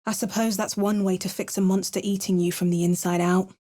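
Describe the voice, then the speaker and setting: A woman acts out a determined adventurer from a fantasy role-playing game. She is wry and measured, with a theatrical edge. The sound is dry and close, with no room around it. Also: medium pitch, little pitch movement, dark tone, no room sound, close to an everyday voice. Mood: wry, resolute. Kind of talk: video game voice lines, dramatic narration and incantations.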